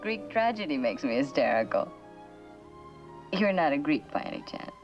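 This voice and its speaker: A wry, enigmatic woman with a fairly low voice, in an old suspense drama. She talks in a playful, teasing, philosophical way over background music. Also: big pitch swings, moderate pace, dull audio. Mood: amused.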